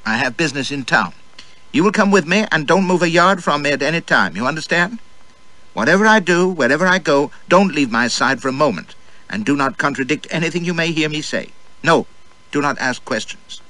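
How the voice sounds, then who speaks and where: A man's voice: fairly high pitch, expressive, fairly quick, pushed and bright, dry recording, pitched above the performer's own voice, rough recording. A man voicing an imperious, short-tempered woman in an audiobook, snapping out curt, scornful orders. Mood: tense, bossy. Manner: curt, clipped, rapped-out orders.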